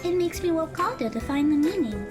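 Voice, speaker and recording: A woman playing an earnest, studious high school girl in an audio drama, speaking in precise, formal phrases over music. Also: expressive, a little fast.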